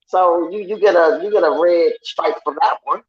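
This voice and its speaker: A woman with a deep, raspy voice chats about sports on an online call. She teases and laughs loudly and often. The audio is muffled and lo-fi, with a roomy echo. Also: normal speed, crowd noise. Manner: laughs often, loud and teasing. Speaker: guest on online sports talk show. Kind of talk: casual chat.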